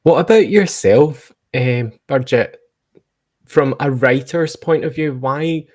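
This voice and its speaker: A man's voice: medium pitch, darkish tone. A man with a Scottish accent hosting a casual podcast chat. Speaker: podcast host.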